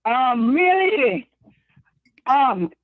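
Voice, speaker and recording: A woman from Trinidad with a Trinidadian accent, calling in to a talk show and speaking hesitantly with many pauses. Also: high voice, very animated, no echo. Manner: hesitant, lots of ums. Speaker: caller. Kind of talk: phone call-in. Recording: phone line.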